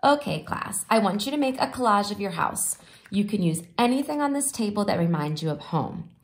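A woman's voice: medium pitch, lively intonation, a little fast, natural voice. A woman voicing a storybook art teacher, instructing a class in an encouraging, projecting way.

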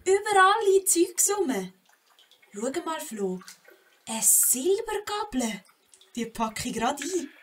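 A woman's voice: sits high, big pitch swings, slow pace, a little hiss or hum, exaggerated voice. A woman with a Swiss German accent acting a bold, impulsive, cheeky young girl adventurer in a children's audio play. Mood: excited.

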